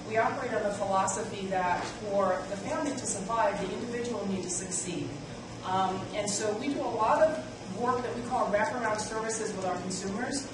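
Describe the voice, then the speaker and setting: A woman with a big voice presenting at a conference in a measured, explanatory way. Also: mid-range voice, noisy background. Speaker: guest presenter. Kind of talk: conference talk. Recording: echoey room, mic from far off.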